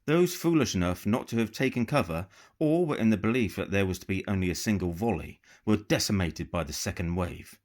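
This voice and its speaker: A man reading a novel as a dramatic, measured and expressive audiobook narration. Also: on the low side. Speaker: author reading own novel.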